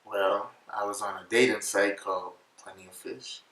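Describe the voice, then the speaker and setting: Deep voiced guy in his twenties, easygoing, kinda shy, mic far off in an echoey room. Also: fairly even intonation, normal speed, a bit bright. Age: 20s. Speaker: vlog co-host. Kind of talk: casual couple chat. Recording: roomy, mic set back.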